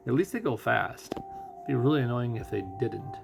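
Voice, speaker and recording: A man giving casual game commentary as host of a playthrough, thinking out loud and putting questions to himself. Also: medium pitch, sing-song, moderate pace, gravelly. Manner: thinks out loud, asking and answering own questions. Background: music.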